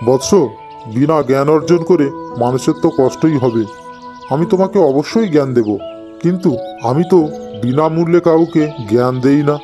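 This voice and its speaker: An older village patriarch acted by a man in a cartoon folk tale. His voice sits fairly high for a man and he advises in a measured, paternal way, with light music behind him. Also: natural voice. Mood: solemn, instructive. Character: older village father and sage-like holy man, calm, advising. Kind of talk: Bengali cartoon moral-tale character acting.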